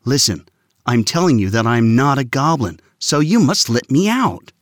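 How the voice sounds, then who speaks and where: A man's voice: on the low side, huge pitch swings, a little slow, husky, no room sound, clean studio sound, exaggerated voice. A man voicing a bold, earnest young boy in a children's story, raising his voice to sound young and insistent. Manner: raised and insistent, confident.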